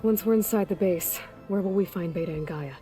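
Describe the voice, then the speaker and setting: A woman's voice: lowish voice, brisk, warm tone, faint music behind, close to an everyday voice. An actress playing a blunt, skeptical young warrior woman in a video game, speaking in a direct, questioning way. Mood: wary. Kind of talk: video game cutscene acting.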